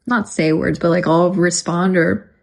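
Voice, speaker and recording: A woman's voice: fairly low pitch, steady tone, a little slow, gravelly, a little mellow. Chatty New woman vlogger. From New York. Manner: chatty, many fillers, thanks viewers by name. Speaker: livestream vlogger. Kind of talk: casual livestream chat.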